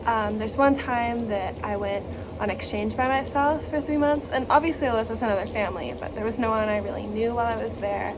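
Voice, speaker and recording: A woman's voice: a little high, a little fast, background noise. A woman giving a casual, informal personal message, with youthful phrasing peppered with "like". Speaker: speaker sharing a personal story for an online worship service.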